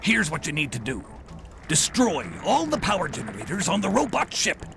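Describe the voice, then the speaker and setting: An actor playing a brisk, salty older crab boss with a gravelly voice and hearty nautical manner in an animated video game. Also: male voice, high-pitched, noisy background, heavily acted. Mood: instructing. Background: loud music.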